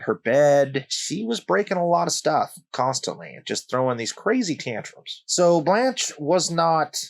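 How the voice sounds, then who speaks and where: A man narrates a strange true story for his own channel, at an ordinary middle pitch. He talks loosely and conversationally, stopping now and then for wry asides aimed straight at the listener. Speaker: channel host.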